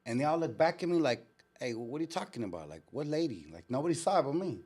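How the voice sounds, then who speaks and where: A man hosting a casual live show, retelling stories in an animated way with street slang and frequent swearing. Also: a little high, quick pace, husky. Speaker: show host. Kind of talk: casual live storytelling and chat.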